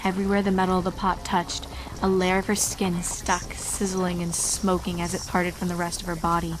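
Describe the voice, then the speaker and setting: A woman's voice: lowish voice, monotone, moderate pace, noisy background. A woman reading horror fan fiction as a dramatic scripted narration.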